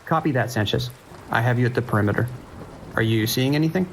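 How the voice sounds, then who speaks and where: Man acting as anxious, gravelly police dispatcher. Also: mid-range voice, fast, lightly acted. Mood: steady, concerned. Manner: urgent, clipped radio procedure, hesitant when shaken. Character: male police dispatcher, anxious but holding the line. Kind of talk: audio drama acting. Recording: rain and ambient noise behind.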